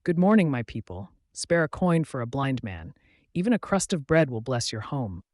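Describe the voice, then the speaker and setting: Kindly blind beggar played by a male narrator, calm and weary, folktale storybook, dry sound. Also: mid-range voice, normal speed, no echo, lightly acted. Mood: weary pleading. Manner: calm, pleading, blessing.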